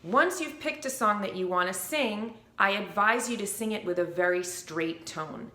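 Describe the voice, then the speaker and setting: A woman coach teaching singing basics in a bright, ringing voice. Her delivery is clear and upbeat, sometimes breaking into brief sung notes to show what she means. The room adds a roomy echo around her. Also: mid-range voice, moderate pace. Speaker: vocal coach. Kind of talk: instructional video.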